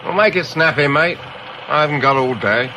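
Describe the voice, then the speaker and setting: An actor in a radio drama playing a cocky working-class driver, blunt, clipped and informal. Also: male voice, a little high, normal speed, lightly acted. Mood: bluffing, impatient. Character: cocky working-class male getaway driver posing as plumber. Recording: noisy vehicle ambience behind voice.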